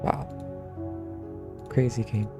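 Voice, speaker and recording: A man streaming a visual novel, reading its text aloud and commenting casually. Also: slow pace. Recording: clear game music behind the voice.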